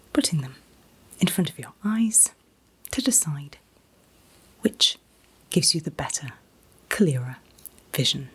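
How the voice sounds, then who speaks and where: A woman in a gentle, soothing ASMR roleplay as an optician, whispering breathily and reassuringly without hurry. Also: low voice, slight background noise, poor audio. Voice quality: breathy, mostly unvoiced whisper. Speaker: ASMR performer playing an optician.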